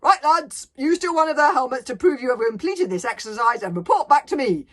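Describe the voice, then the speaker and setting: A brash Viking instructor , played by a woman, booms out orders for a children's storybook. He is careless and blustering, with a very husky edge. His pitch swoops about as he bellows like a pirate captain. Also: very animated, moderate pace. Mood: brisk, booming.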